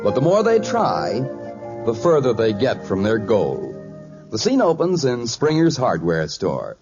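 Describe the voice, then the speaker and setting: A male announcer reads scripted narration in old-time radio style. His delivery is animated over loud music. The recording is muffled and vintage. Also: mid-range voice, a little slow.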